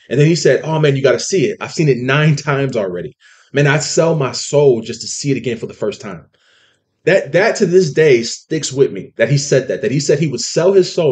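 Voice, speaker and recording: A man's voice: mid-range voice, darkish tone. A man giving a casual sermon-style commentary, emphatic, often saying "okay" and "see" as he makes his point. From California. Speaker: online preacher.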